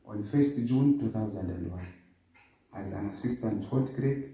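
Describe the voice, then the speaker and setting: A man in his fifties giving a formal interview, recounting his background in a measured way. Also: lowish voice, dark tone. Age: 50s. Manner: measured, formal, lists dates and places. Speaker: interview guest. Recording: echoey, distant room sound.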